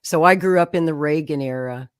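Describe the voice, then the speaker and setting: A woman's voice: low-pitched, a little fast, smooth, dry recording, clean studio sound. A woman, a guest telling her political life story in a chatty way, laughing often and acting out quotes. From Oklahoma, USA. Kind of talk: conversational storytelling.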